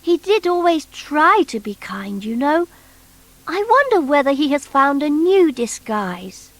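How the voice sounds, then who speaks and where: A woman voicing a small, earnest, excitable toy boy in a children's storybook, with an emphatic, childlike delivery. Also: high-pitched, a little slow, no echo, voice pushed up, heavily acted. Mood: eager, fond.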